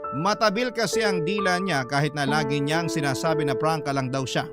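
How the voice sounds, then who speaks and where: Male Filipino drama narrator, letter-reading style, slightly high pitch, prominent music bed. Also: a little fast. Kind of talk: scripted dramatic storytelling narration. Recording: loud music behind the voice.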